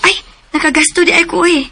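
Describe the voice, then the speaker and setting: A woman's voice: very high voice, pushed and bright, exaggerated voice. A woman with a Cebuano accent voicing a naive, playful young woman in a radio drama, giggly and teasing.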